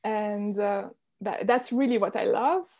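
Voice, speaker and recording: A woman's voice: mid-range voice, a little slow, mellow. A woman from Switzerland speaking as an interview guest, telling her own story in a candid, reflective way. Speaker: podcast guest, entrepreneur. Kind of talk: conversational interview.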